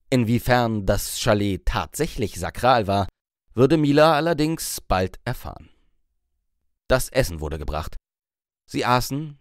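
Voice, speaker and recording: A man's voice: fairly low pitch, dry recording, clean studio sound. A man reading scripted narration from a comic novel as an audiobook narrator.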